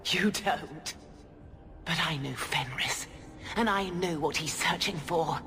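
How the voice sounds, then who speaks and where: A haughty sorceress in an echoing hall. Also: female voice, sits low, takes it slowly, a bit bright. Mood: wary, haughty. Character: cornered, calculating villainous sorceress, haughty apprentice to slaver. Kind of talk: dramatic video game voice acting. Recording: reverberant hall sound with music behind.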